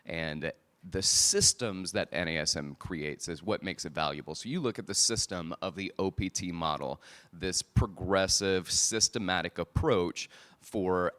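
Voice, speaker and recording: A man hosting a podcast live at a conference, chatting energetically with the audience from a handheld mic. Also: low voice. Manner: energetic, chatty presenter style with quick asides. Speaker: podcast host. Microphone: handheld microphone.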